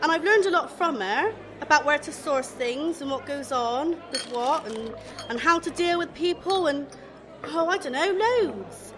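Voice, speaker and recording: A chatty young shop assistant with a grievance, in a radio comedy. Her voice is high and bright and swings about in a lively way. She talks in a blunt, gossipy, everyday manner. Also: a little slow, soft background music, a faint crowd. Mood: chatty, defensive. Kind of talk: radio play comedy acting.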